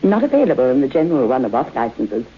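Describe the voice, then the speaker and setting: Husky, genteel, eerie widow, tinny radio. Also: female voice, rumbling low, very animated, fast, heavily acted. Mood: coyly hospitable. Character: genteel, eerie middle-aged widow hostess, coyly hospitable. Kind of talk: vintage radio suspense acting. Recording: muffled tinny old radio broadcast.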